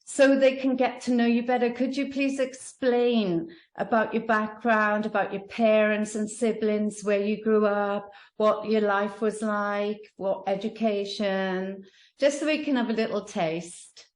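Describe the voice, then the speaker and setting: A woman hosting a podcast, introducing her guest in a measured way. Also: mid-range voice, steady tone. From Australia. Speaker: podcast host. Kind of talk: podcast interview. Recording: echoey room.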